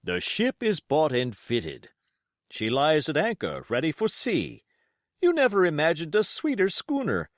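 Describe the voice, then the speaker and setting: A man performs a pompous, excitable country squire from a storybook. He blusters emphatically through a letter, his pitch swinging very widely, in a dry, close recording. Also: measured pace, dry recording. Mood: boastful, enthusiastic.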